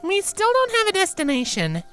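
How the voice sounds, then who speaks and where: A man's voice: very high-pitched, huge pitch swings, moderate pace, bright, forceful tone, faint music behind, pitched above the performer's own voice, exaggerated voice. A man voicing an earnest young female alicorn sorceress, precise and slightly indignant, in a storybook reading. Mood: matter-of-fact. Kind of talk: character voice in fan fiction reading.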